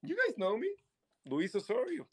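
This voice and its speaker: A man's voice: very high-pitched, huge pitch swings, normal speed, a little hiss or hum. A young man from Puerto Rico in his twenties, co-hosting a casual live stream podcast in a playful, teasing way. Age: 20s. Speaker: podcast co-host.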